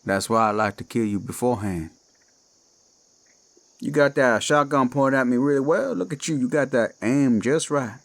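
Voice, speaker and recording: A man voicing a menacing Cajun werewolf of the swamps, with a Cajun accent and a slow, taunting drawl. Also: medium pitch, lightly acted. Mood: goading. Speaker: villain in audio drama. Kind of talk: horror audio roleplay. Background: faint eerie music and crickets.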